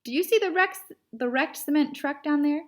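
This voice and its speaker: A woman's voice: sits high, moderate pace, pitched above the performer's own voice, lightly acted. A woman voicing a patient, sensible but exasperated male storybook cat who explains things carefully and hesitantly. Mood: incredulous. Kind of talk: children's storybook character read aloud.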